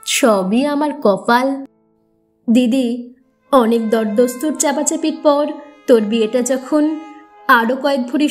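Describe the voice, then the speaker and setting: A woman reciting a narrative poem in an expressive, measured manner with deliberate pauses. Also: sits high. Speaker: poetry reciter. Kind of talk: dramatic Bengali poem recitation, first-person narrative.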